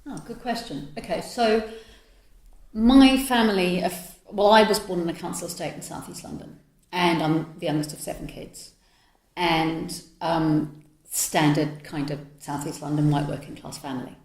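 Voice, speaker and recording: Animated middle-aged woman, roomy sound. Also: fairly low pitch, normal speed. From grew up in New Zealand. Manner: animated, tumbling long answers. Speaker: author and theatre maker guest. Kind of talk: conversational interview answers. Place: literary festival.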